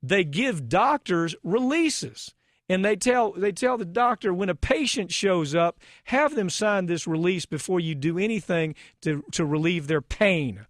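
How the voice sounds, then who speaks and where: A man's voice: high-pitched, lively intonation, unhurried, no echo, clean studio sound. An American man hosting a radio talk show, speaking emphatically and indignantly with rhetorical questions.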